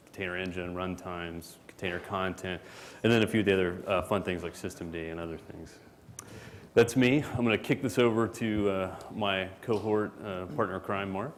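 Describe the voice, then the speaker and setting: Low-voiced guy joking through a conference talk, lots of ums, echoey hall. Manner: relaxed and joking, with many fillers. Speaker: product manager presenting at a conference. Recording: hall reverb, faint background noise.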